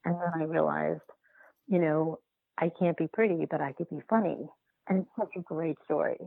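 Woman on a phone-like line, low gravelly voice, chatty interview guest who keeps restarting her sentences. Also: steady tone, no echo. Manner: halting, repetitive, self-restarting storytelling. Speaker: podcast guest, documentary filmmaker. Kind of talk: casual interview talk.

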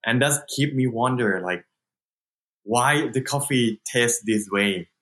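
A young man with a Thai accent talks through an interview in loose, halting English. He leans on "like" and starts phrases over, with easy laughs along the way. His pitch sits in an ordinary middle range. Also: a bit bright. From Thailand. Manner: halting non-native English with frequent "like" and restarts, laughs often. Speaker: guest entrepreneur. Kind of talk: casual interview.

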